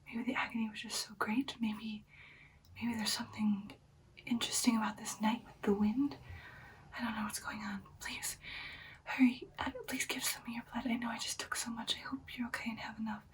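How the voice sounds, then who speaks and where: A woman playing a caring, anxious vampire girlfriend in a whispered ASMR roleplay, speaking softly and haltingly in a soothing way. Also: a little high, little pitch movement, far from the mic, a little hiss or hum, close to an everyday voice. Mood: alarmed, urgent. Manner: soft, hushed, halting, soothing personal attention.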